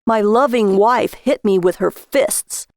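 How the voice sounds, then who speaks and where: A woman's voice: medium pitch, slow, darkish tone, slight background noise. A woman voicing a childish, gullible, easily wounded husband in an audio story. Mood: hurt.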